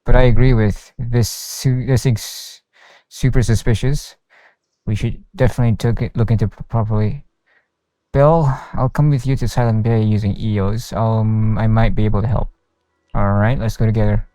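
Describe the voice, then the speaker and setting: Mellow man reading game text aloud. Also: lowish voice, fairly even intonation, normal speed. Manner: stumbles while reading aloud. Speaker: game streamer. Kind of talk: reading game dialogue and narration aloud on a livestream. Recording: roomy, with faint game music behind.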